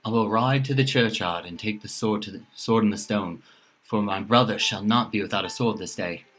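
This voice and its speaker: A woman's voice: very deep voice, normal speed, voice pushed down. A woman voicing an earnest, noble teenage boy with formal storybook speech. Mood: resolute.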